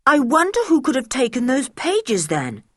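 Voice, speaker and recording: A woman's voice: sits high, wildly swooping pitch, normal speed, fairly bright tone, pitched above the performer's own voice, heavily acted. A woman voicing a sensible, polite older boy in a storybook reading, speaking urgently and politely.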